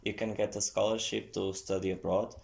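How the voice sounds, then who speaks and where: Man, low gravelly voice, flat delivery, hesitant second-language english. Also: brisk. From Portugal. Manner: hesitant, many fillers. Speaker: podcast guest. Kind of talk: casual chat.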